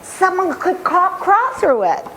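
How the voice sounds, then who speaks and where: A woman voicing an excited, curious young boy in a storybook, speaking in breathless spurts. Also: very high-pitched, a little slow, slight background noise, pitched above the performer's own voice, exaggerated voice.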